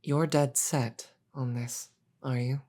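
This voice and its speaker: A woman acts out a demon queen for an audio drama. She speaks very slowly in a dark, velvety tone, weighing each phrase with pauses. Her manner is regal and grave, wryly amused, and often hushed toward a whisper. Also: very deep voice, steady tone, exaggerated voice. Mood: wry, soft. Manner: deliberate, pausing, often dropping to a whisper. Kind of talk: scripted audio roleplay, slow dramatic delivery.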